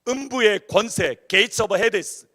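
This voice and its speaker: A man's voice: high-pitched, very slow, sharp tone. A man preaching a Sunday sermon in a church, with a regional dialect, emphatic and full of rhetorical questions. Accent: Korean regional dialect. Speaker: pastor. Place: church sanctuary.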